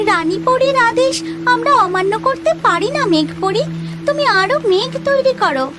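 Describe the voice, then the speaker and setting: A woman plays a cartoon rain fairy with an extremely high voice. She sounds anxious, urgent and dramatic as she speaks of her magic. Loud music plays behind her. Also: lively intonation, measured pace. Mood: insistent. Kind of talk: children's cartoon voice acting.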